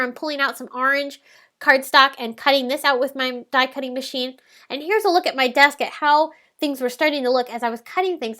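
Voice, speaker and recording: A woman narrates a crafting tutorial in a calm, explanatory way, her voice stuffed up and nasal from a head cold. She speaks high and bright, with a clear ring to her tone. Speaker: card-making tutorial host. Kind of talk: step-by-step crafting voice-over.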